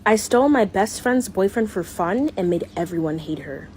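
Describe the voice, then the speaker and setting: A woman narrates a scripted story in a medium-pitched voice. She moves through short declarative sentences, with faint background noise. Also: lively intonation, normal speed, a little mellow.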